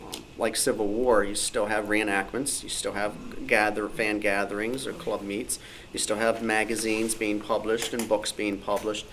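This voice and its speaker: A man talks off the cuff as a panelist at a hobby club meeting. His voice is fairly low but bright and forceful, with faint noise around it. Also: little pitch movement, normal speed, few pauses. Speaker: panelist, old-time radio fan. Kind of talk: unscripted panel discussion. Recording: noisy live meeting room recording.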